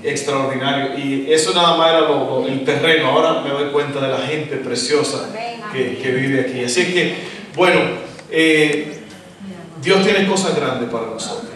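A middle-aged Dominican man with a Dominican Spanish accent, preaching a sermon as guest pastor, animated and asking the congregation questions. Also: mid-range voice, moderate pace, distant mic. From Dominican Republic. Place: church stage, indoors, large hall. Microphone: handheld mic. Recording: echoey hall, recorded from afar.

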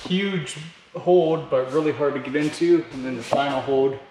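A man's voice: medium pitch, moderate pace, heavy background noise. A young man filming himself at a bouldering gym, talking casually to the camera between climbs, laid-back and slangy, with some swearing. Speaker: climbing vlogger. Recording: echoey gym with music behind. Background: background music.

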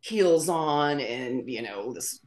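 Low-voiced woman, chatty guest on a call. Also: animated, takes it slowly, darkish tone. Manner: chatty, laughs often while telling stories. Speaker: interview guest, former model. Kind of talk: casual video-call interview. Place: home room with framed pictures on the wall. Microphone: video call.

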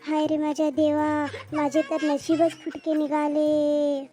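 A woman's voice: sits high, completely flat delivery, unhurried, mellow, soft background music. A woman voicing a greedy, quarrelsome middle-aged mother-in-law in a comedy cartoon, with melodramatic scolding. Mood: dismayed, wailing.

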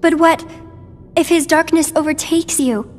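An actress playing a gentle, wistful, mysterious young girl in a video game, with a soft, light, girlish voice. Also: very high-pitched, fairly even intonation, measured pace, in a large hall, faint music behind. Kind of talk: video game cutscene acting.

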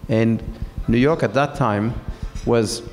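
A middle-aged man from France, speaking as a guest on stage in a large hall, pausing with many fillers. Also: medium pitch, a little mellow, soft background music. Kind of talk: onstage conversation. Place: large event hall with seated audience. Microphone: handheld mic.

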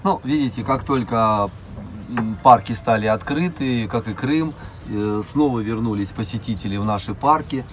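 A middle-aged man giving a casual guided tour in short, unhurried phrases with frequent pauses. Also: lowish voice, slight background noise. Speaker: zoo director giving an interview and tour. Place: open cab of a small vehicle driving through an outdoor park.

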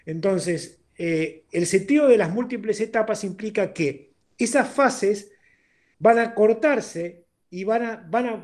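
An older man with an Argentine accent speaks in a strikingly high voice for a man. He talks informally and emphatically, like a seminar guest giving his views over a video call. He often stops to check that he is being understood. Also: darkish tone. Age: 60s. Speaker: interviewed guest, accountant turned entrepreneur. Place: indoor room at home, video call.

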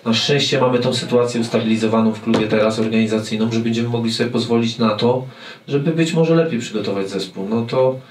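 A man in his forties, a football coach answering press questions after a match, speaking haltingly with repeated words and fillers. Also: mid-range voice, fairly even intonation. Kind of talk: post-match press conference. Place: press room with sponsor wall. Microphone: table mic on stand. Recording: echoey room, mic at a distance, faint noise.

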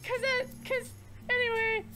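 A ditzy, lovestruck cartoon girl, extremely high and squeaky. She rambles excitedly in a comedic game fandub over loud music. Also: measured pace.

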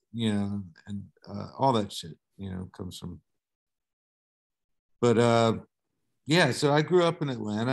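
A middle-aged man from the southern United States, a guest on a video call, telling stories in a laid-back way and swearing casually. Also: medium pitch. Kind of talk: casual interview storytelling.

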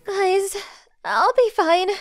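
A woman voicing a sweet, soft-spoken, gentle teenage girl in an anime dub. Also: very high voice, very animated, drawn-out words, dry recording, soft background music, full character voice.

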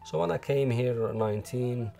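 Algerian man in his forties, lapel-miked at home, hesitant phrasing, with soft music underneath. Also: mid-range voice, gravelly. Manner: halting, many restarts and fillers. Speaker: interviewee. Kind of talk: documentary interview. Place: living room at home.

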